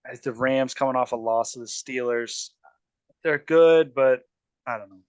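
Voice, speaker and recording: A man's voice: mid-range voice, unhurried, clean tone, no room sound. A man hosting a casual sports podcast, talking loosely with lots of ums and restarts. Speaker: podcast host.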